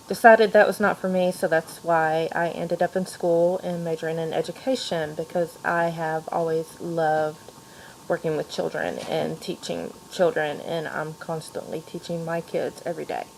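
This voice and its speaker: A woman of thirty from Kentucky with a mixed Southern accent, quietly introducing herself at home late at night, with many ums. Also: deep voice, vocal fry, a little hiss or hum, poor audio. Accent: mixed Kentucky and Georgia Southern. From Louisville, Kentucky. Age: 30s. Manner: soft-spoken, frequent ums. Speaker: student vlogger. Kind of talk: personal introduction video.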